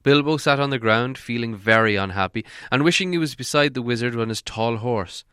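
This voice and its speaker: A man's voice: mid-range voice, brisk, bright, forceful tone, smooth, dry recording, studio quality. A man reading a storybook aloud as an audiobook narrator, in scripted narration. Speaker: audiobook reader.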